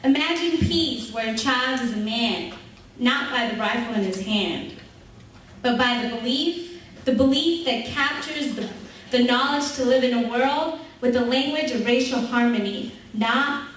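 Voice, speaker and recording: High-voiced young woman poet, echoey hall. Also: unhurried, a bit bright, slight background noise. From Sri Lanka. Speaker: spoken word poet. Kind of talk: spoken word poetry performance. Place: university event hall. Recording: echoey hall recorded from far off.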